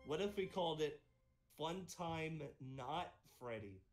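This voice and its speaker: Performer as a good-natured security guard, eager, hesitant, lively swooping pitch, game roleplay, music behind. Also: takes it slowly. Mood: musing. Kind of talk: improvised game roleplay acting.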